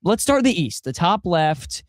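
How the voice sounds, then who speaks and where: A young adult man filling in as a sports talk radio host, energetic and quick with follow-up questions on a studio mic. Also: sits high, no room sound. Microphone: studio broadcast mic.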